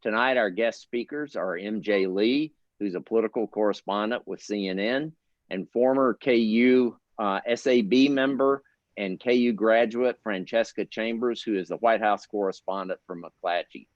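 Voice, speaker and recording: A middle-aged man moderating a virtual panel discussion, polite and measured, with small restarts. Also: fairly low pitch, no echo, highs cut off. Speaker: host, institute director.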